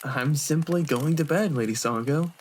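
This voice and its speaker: A man playing a smooth-talking, lecherous young monk in a fan audio drama, polite and mock-innocent. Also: on the high side, moderate pace, slight background noise, lightly acted.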